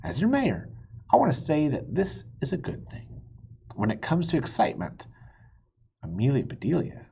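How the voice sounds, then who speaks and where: A man's voice: fairly high pitch, wildly swooping pitch, moderate pace, creaky, warm tone, highs cut off, a little hiss or hum, exaggerated voice. A man voicing a proud small-town mayor making a public speech in a children's storybook. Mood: proud, declaring.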